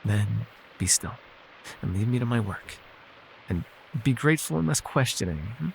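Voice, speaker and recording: A low-voiced, gravelly elf healer, acted by a man, ancient and weary yet gentle. He murmurs in measured, old-fashioned phrases with a wry, teasing edge, as in an intimate fantasy ASMR roleplay, over faint background ambience. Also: lively intonation, a little hiss or hum, rough recording. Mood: soothing. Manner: measured, formal archaic phrasing, soothing.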